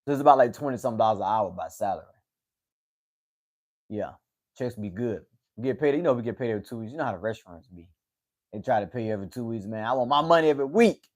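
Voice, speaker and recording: A man's voice: medium pitch, lively intonation, quick pace, long pauses, a little mellow. A man in his late twenties chatting casually on his own livestream, rambling and repeating himself, with "man" at the end of many sentences. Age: 20s. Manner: rambling, repeats words, says "man" a lot. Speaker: livestream host.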